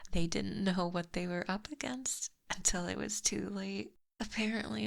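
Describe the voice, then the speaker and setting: A woman playing a possessive, teasing lamia monster girl in a soft, breathy ASMR roleplay, close on a binaural microphone. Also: medium pitch. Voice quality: breathy, whispery. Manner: soft, teasing, slow and deliberate.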